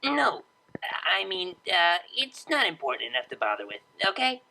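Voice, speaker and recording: Woman voicing a brash, creaky young unicorn DJ. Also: lowish voice, huge pitch swings, moderate pace, bright, forceful tone, slight background noise, full character voice, low-quality recording. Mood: flustered. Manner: casual, slangy, offhand. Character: brash, laid-back young unicorn mare DJ.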